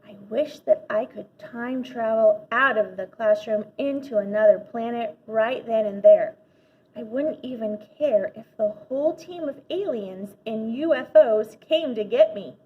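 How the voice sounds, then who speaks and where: A woman reading a children's story aloud like a teacher, animated, with dramatic pauses and emphasis. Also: fairly high pitch, slightly rough, phone-quality sound. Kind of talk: expressive children's audiobook-style read-aloud.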